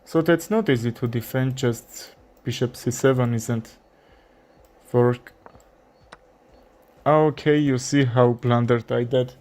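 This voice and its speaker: A young man with an Armenian accent commentating his own chess game, thinking aloud with frequent fillers and pauses. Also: mid-range voice, fairly even intonation, darkish tone. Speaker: chess streamer. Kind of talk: live commentary while playing chess.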